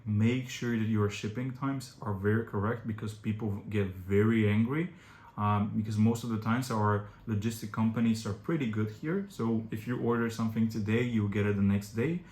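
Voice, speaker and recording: A young man from Bulgaria whose first language is Bulgarian, speaking in English as an agency founder who gives direct, practical advice to camera and often pauses on "uh". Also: medium pitch, a little mellow. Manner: direct, advisory, frequent "uh" fillers. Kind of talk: informal explainer to camera. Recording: echoey room with faint background noise.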